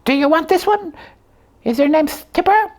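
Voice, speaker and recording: A woman's voice: sits high, lively intonation, normal speed. A woman voicing a hungry young talking slipper who plays detective, telling the tale with pompous earnestness in a comic puppet show. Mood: earnest.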